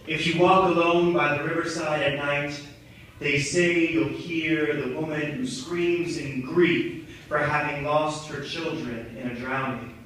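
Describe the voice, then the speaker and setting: Young male monologist, bright intense voice, deliberate and poetic, distant in a reverberant room. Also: fairly high pitch, fairly even intonation. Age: young adult. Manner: theatrical, deliberate, poetic delivery. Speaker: monologue performer. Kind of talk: dramatic spoken-word monologue. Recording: very echoey, distant mic, noisy room.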